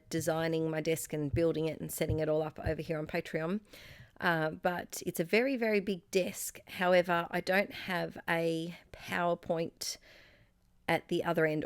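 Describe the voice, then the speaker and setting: An Australian woman in her thirties, slightly croaky, chatting casually and rambling with fillers and giggles as she narrates her scrapbooking. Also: lowish voice, dry recording, clean studio sound. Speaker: scrapbooking YouTuber. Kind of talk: casual crafting voice-over. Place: home craft space.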